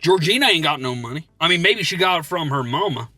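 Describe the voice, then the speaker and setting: Man performing an excitable rural American skeptic, high bright male voice, halting, folksy, gullible, comic podcast banter. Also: no echo, soft background music. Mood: skeptical. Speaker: comedy podcast co-host.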